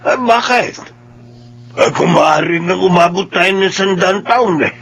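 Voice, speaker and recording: A man's voice: high voice, a little slow, vocal fry, a bit bright, soft background music, heavily acted. An actor with a Filipino accent playing an anxious, reflective man of sixty in a radio drama. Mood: friendly, bantering. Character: anxious sixty-year-old village man, reflective and sociable.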